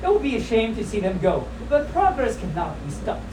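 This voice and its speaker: A woman's voice: a little high, big pitch swings, a little fast. Woman reading condescending big bad wolf, echoey room. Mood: smug. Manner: condescending, theatrical. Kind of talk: live comic storybook reading. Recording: distant echoey room recording with background noise.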